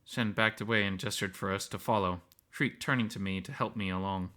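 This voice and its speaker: A man's voice: fairly low pitch, brisk, pushed and bright. A man reading scripted first-person story narration as the narrator.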